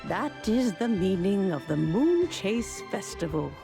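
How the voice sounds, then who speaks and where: A woman playing a wise, long-lived female mentor in a video game, speaking in a gentle, measured, formal storytelling way. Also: mid-range voice, sing-song, vocal fry. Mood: reflective. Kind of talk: video game character acting. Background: music.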